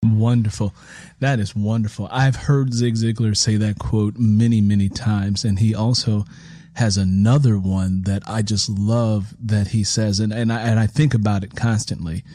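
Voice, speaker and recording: A middle-aged man hosts a casual interview. His voice is mellow and rather husky, and he talks with enthusiasm, circling back over phrases to stress them. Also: lowish voice, little pitch movement, normal speed, no echo, studio quality. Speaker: podcast interview host.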